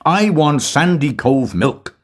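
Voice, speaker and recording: A man's voice: a little high, animated, slow pace, voice pushed up. A man voicing a brash, mocking young male medical student with a theatrical, mock-solemn manner. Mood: boisterous.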